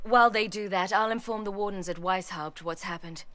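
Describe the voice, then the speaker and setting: A woman's voice: mid-range voice, fairly quick, fairly bright tone, no room sound, close to an everyday voice. A woman playing a bold, determined mage champion in a fantasy video game. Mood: determined, earnest. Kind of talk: dramatic video game acting.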